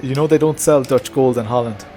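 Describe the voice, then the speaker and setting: A man's voice: medium pitch, fairly quick. A man streaming a racing game with casual, joking live commentary over car noise. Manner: joking, self-amused. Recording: noisy, car engine sound.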